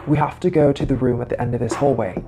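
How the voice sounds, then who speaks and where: A woman voicing a small, sly monster in an animated horror story, coaxing and secretive as it poses as a child's playmate. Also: a bass voice, fast talker, over music. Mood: secretive, eager.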